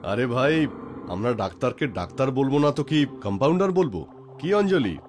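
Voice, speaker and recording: An actor playing a jovial, teasing bank manager in a dubbed story drama. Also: male voice, mid-range voice, very animated, normal speed, darkish tone, a little hiss or hum, natural voice. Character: jovial, teasing bank manager hosting his doctor friend.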